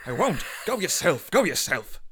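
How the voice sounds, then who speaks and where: An actor playing a desperate, devoted husband in a theatrical, pleading 1930s radio horror style. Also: male voice, on the high side, big pitch swings, moderate pace. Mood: frantic. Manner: theatrical, pleading, swinging from murmurs to outcries. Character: desperate, distraught husband devoted to his transformed wife. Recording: noisy vintage 1930s radio recording.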